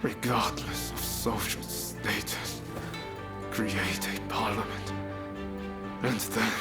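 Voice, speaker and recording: An actor playing a wounded, idealistic samurai with weak, breathy, labored speech in a dramatic video game. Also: male voice, on the low side. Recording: loud music behind the voice.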